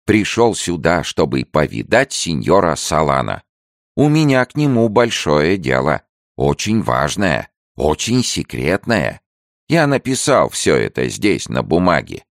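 A man voicing a sly, boastful stout merchant who talks slowly and wheedles in an audiobook. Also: rumbling low, sing-song, vocal fry, no echo, studio quality, voice pushed up, full character voice. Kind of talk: audiobook character voice.

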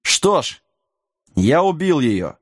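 A man's voice: on the high side, expressive, normal speed, no room sound, studio quality, voice pushed up, lightly acted. A man playing a bold, proud and defiant warrior king in a fantasy audiobook. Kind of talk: audiobook character acting.

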